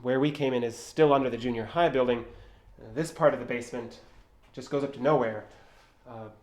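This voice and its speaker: A man's voice: medium pitch, a little fast, a little mellow, natural voice. An actor playing an earnest, touchy man exploring an old building, stammering a little in naturalistic audio drama dialogue. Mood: nervous, eager. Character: earnest, touchy male urban explorer telling local legends. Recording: echoey hollow room sound.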